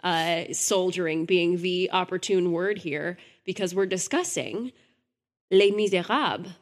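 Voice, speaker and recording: A woman's voice: fairly low pitch, measured pace. A woman co-hosting a podcast, talking in a chatty and enthusiastic way. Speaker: podcast co-host. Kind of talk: casual podcast chat.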